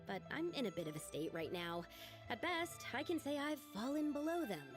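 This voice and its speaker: A woman's voice: high voice, sing-song, moderate pace, music in the background. An actress playing an earnest, dramatic young lady with big ambitions in a video game, speaking expressively. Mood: glum, resigned.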